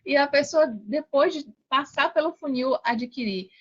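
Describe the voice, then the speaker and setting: A Brazilian woman talking casually as a podcast guest, telling her experiences in an animated way and often seeking agreement. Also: high-pitched, normal speed, a little hiss or hum. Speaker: podcast guest, affiliate seller. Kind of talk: casual conversational storytelling. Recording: dull, band-limited sound.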